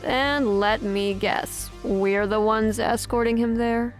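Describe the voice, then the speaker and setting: An actress playing a no-nonsense, sceptical police officer in an audio drama, with a dry, firm delivery. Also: female voice, a little high, steady tone, takes it slowly, faint music behind.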